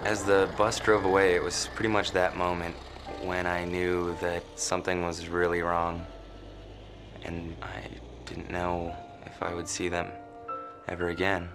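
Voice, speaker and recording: A man's voice: low voice, monotone, a little slow, over music. A man recounting in a plain, subdued way how his father and brother went missing. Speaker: interviewee, son of the lost skier. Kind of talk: documentary interview recollection. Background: dramatic score.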